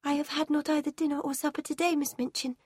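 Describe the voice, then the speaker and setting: Woman voicing a quiet, weary young girl. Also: high-pitched, flat delivery, fast, no room sound, clean studio sound, pitched above the performer's own voice, close to an everyday voice.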